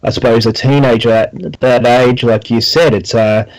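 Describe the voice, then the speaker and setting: A man's voice: mid-range voice, fairly even intonation, moderate pace, low-quality recording. A middle-aged man hosting a podcast interview, speaking earnestly and often restarting his sentences. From Australia. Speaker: podcast host, personal trainer.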